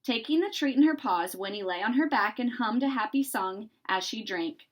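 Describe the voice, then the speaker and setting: A woman reading a children's story aloud in an expressive, deliberate narrating style. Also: fairly high pitch. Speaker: storybook reader.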